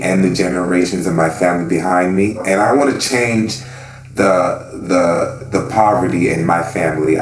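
Deep-voiced man from the Bahamas, reflective. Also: reverberant, rough recording. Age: 30s. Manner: earnest and reflective, with frequent fillers. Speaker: interviewee. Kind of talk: oral history telling.

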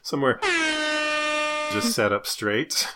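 A man's voice: fairly low pitch, sing-song, slow, heavy background noise. A man, a podcast co-host, trading casual comedic banter in a teasing, wisecracking way.

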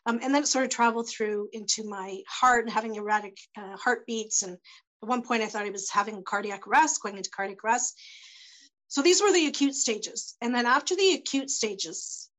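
A woman speaking as a panel guest, giving halting personal testimony with many pauses and restarts as she searches for words, her voice tending to go hoarse. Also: a little high, a bit bright, poor audio. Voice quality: voice tends to go hoarse.

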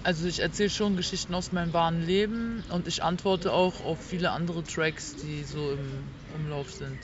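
A woman's voice: lowish voice, fairly even intonation, moderate pace. A woman chatting casually in slang mixed with English words, recorded outdoors. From Berlin, Germany. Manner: slangy, peppered with English words and tag questions. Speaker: rapper, interview guest. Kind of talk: casual chat. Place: outdoors on a picnic blanket in a park. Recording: dull outdoor recording, faint city noise.

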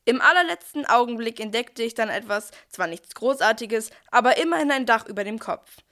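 A woman's voice: fairly high pitch, animated, brisk, a bit bright. A woman playing a busy, down-to-earth archangel who tells the story in a scripted nativity audio play, chatting directly to the listeners. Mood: matter-of-fact, pleased. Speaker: narrator.

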